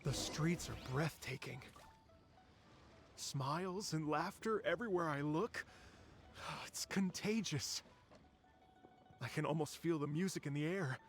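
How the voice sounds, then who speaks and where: An actor playing a gentle, earnest, dreamy musician in a video game, with an expressive and slightly theatrical delivery. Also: male voice, on the high side, slow pace, long pauses, soft background music. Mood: wonder.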